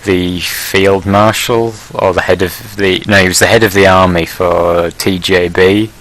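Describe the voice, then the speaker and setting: A man with a very low voice and a bright, forceful edge to it. He chats casually on a podcast and rambles through his points with plenty of fillers and restarts. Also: steady tone, unhurried, low-quality recording. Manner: laughs at his own blunt jokes. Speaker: leader of a Prussian group in an online game.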